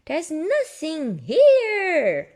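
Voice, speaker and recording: A woman voicing a curious, excitable little girl pig from a children's storybook, full of exclamations and questions. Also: extremely high pitch, extremely slow, pitched above the performer's own voice, full character voice. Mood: eager.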